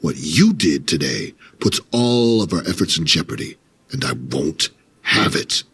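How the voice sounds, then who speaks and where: An actor playing a stern, angry military commander in an audio drama, scolding with authority. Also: male voice, very deep voice, big pitch swings, a little slow, vocal fry, fairly bright tone, far from the mic, slight background noise, exaggerated voice. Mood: angry, commanding.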